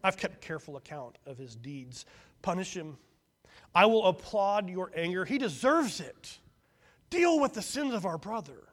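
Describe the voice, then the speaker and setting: A man's voice: a little high, lively intonation, normal speed, vocal fry, a bit bright, slightly rough, big-room sound, heavily acted. A man voicing a smug, fault-finding young man who eagerly accuses his brother. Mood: smug accusing.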